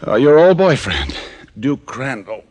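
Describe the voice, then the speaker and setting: An actor playing a wry, wisecracking private detective in 1940s radio drama style. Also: male voice, mid-range voice, wildly swooping pitch, a little slow, a little mellow, slight background noise. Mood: wry, groggy. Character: wry, battered male private detective narrating his case. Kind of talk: 1940s radio detective acting with first-person narration. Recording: old radio recording with faint music.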